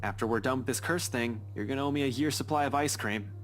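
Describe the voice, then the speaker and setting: A man's voice: mid-range voice, quick pace, close to an everyday voice. An actor voicing a wry, loyal young man with a tender side in a visual novel game. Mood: wry, grumbling. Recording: music behind the voice. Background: game music.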